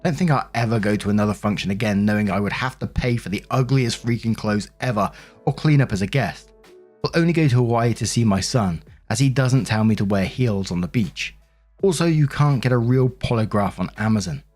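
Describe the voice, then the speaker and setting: A man's voice: fairly low pitch, a little fast, a little mellow, soft background music, natural voice. A man reading out the comment of a scornful older wedding guest, sarcastic and dismissive.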